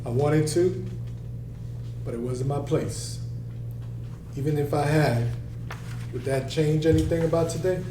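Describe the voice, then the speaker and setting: A man's voice: a little high, measured pace, long pauses, natural voice. A man playing a stern but caring middle-aged father and restaurant boss, scolding and reassuring by turns, in a screenplay table read. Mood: gentle, reassuring. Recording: echoey room, distant mic, faint hum.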